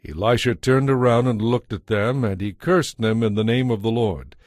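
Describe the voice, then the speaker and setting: Measured male narrator, dry studio sound. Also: mid-range voice, a little mellow, no echo. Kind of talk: scripted audio Bible narration.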